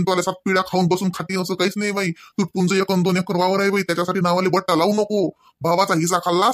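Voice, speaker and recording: A man's voice: high-pitched, no echo, clean studio sound, close to an everyday voice. A man acting a stern, pleading old village father in a comedy cartoon, lecturing insistently in a rural Varhadi Marathi accent with questioning tags. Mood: stern, admonishing. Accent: Varhadi Marathi, rural Vidarbha.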